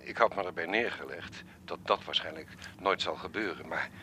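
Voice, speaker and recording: An actor playing an elderly, courteous, wistful art collector in a radio drama, speaking in a formal, measured, reflective way. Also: male voice, low voice, sharp tone, a little hiss or hum. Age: 70s or older.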